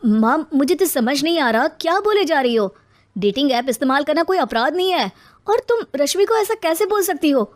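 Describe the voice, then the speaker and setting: A woman's voice: sits high, lively intonation, moderate pace, pitched above the performer's own voice. A woman voicing a protective young adult son in a moral story, indignant and pleading. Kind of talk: acted moral story dialogue.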